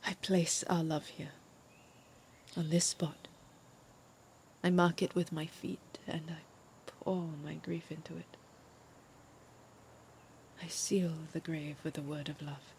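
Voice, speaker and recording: Here is a sentimental, heartbroken woman in an audio drama. Her voice sits fairly low and carries an emotional, pleading manner. There is noticeable background ambience under her words. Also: flat delivery, slow, pauses often, darkish tone, slight background noise. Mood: mournful, reflective. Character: heartbroken, sentimental young woman clinging to her departing lover.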